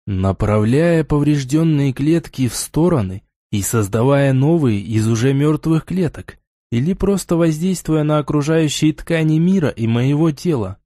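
Dark-toned male audiobook narrator. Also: medium pitch, no echo, studio quality. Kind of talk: scripted first-person fantasy novel narration.

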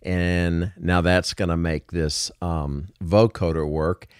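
Male tutorial host, deep voice, dry close-mic sound, informal step-by-step explainer. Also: steady tone, slow, smooth, no room sound. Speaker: music production tutorial host. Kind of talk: casual software tutorial narration.

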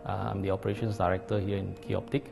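A man in his forties speaks in a low, gravelly voice, measured and hesitant. Loud music sits under him. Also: flat delivery. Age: 40s. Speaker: operations director. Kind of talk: documentary interview. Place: office with leather sofa.

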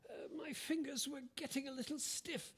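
A woman's voice: high-pitched, fairly even intonation, normal speed, lightly acted. A woman playing a meek, kindly, deferential male clerk in a staged radio drama, timid and apologetic. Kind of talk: live staged reading of 1930s radio drama. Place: theatre stage, live performance.

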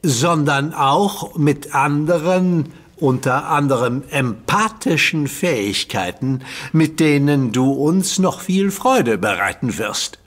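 Earnest young hero, lively and slightly husky. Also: male voice, on the high side, slow. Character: young male superhero leader, earnest.

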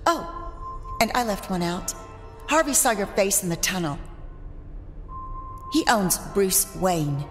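A woman's voice: medium pitch, big pitch swings, takes it slowly, long pauses. A woman playing a cool, self-assured cat burglar in an audio drama, wry and teasing. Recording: reverberant room, faint music.

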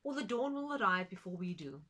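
A woman's voice: medium pitch, animated, pushed and bright. A woman doing an earnest, commanding man. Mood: insistent. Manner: earnest, commanding, slow and emphatic. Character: determined, insistent male friend of the farmer.

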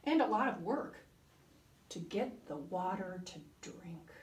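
A kind, patient male kangaroo gently explains and encourages in a slow storybook voice, as a woman reads him. The voice is lively, set back from the mic in a roomy space, with soft cello music behind it. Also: on the low side, recorded from a distance, very noisy.

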